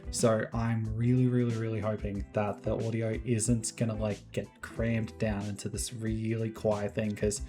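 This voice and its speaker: A man hosting his own Minecraft building videos, chatting casually in a rambling, monotone way and laughing often. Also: normal speed, few pauses, music in the background. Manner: monotone, fairly even pitch. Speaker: Minecraft builder and channel host. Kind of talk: casual gameplay commentary and voiceover.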